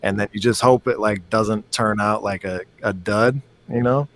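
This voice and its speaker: A man's voice: fairly low pitch, fairly even intonation, moderate pace, a bit bright. A man as a guest musician in a casual video call chat, rambling with many fillers and restarts.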